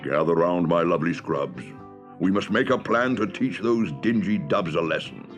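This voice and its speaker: Man voicing a commanding, deep-voiced sprite baron. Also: faint music behind, lightly acted. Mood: scheming, rallying. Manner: slow, declamatory, commanding. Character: commanding male baron of laundrette sprites, rallying leader. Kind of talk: children's storybook character voice.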